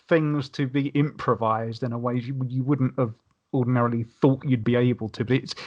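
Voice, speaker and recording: A man in casual panel discussion, talking wordily and often restarting his sentences. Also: mid-range voice. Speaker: podcast panelist.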